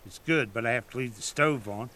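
A man's voice: mid-range voice, fairly quick, sharp tone, close to an everyday voice. An actor playing an old father in an audio drama, sad and stubborn, with folksy, emphatic talk. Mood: melancholy.

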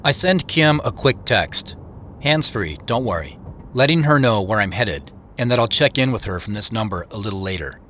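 An actor playing a wry, reflective man who narrates his own mystery in an audio drama, in measured phrases. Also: lowish voice, animated, bright, forceful tone, a little hiss or hum. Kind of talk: first-person scripted audio drama narration. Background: music.

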